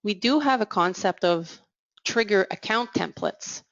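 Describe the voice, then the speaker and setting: A woman giving a measured, explanatory software product demo as voice-over, slowing down on key terms. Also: medium pitch, no room sound, dull audio. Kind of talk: scripted product demo narration.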